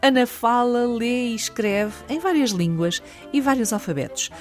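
A woman with a European Portuguese accent hosting a radio interview, asking questions clearly and articulately. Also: on the high side, moderate pace, faint music behind. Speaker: radio host.

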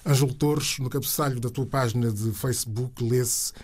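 A man presenting a radio interview in a measured, scripted style, with formal, literary and deliberate phrasing. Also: medium pitch, steady tone, darkish tone.

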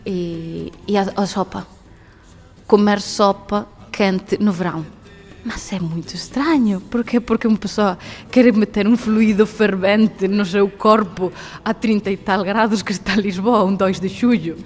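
A young woman with a Galician accent tells her story on the radio, her voice lively and quick to laugh. Her pitch sits in a middle register, and faint music runs underneath. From Galicia, Spain. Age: 20s. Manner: lively, laughs often, mixes Portuguese and Galician. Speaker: guest. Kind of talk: radio testimony.

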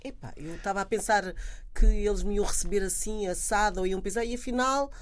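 Woman host, mid-pitched voice, hesitant probing questions. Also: fairly quick. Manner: hesitant, many fillers, questioning. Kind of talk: casual panel conversation.

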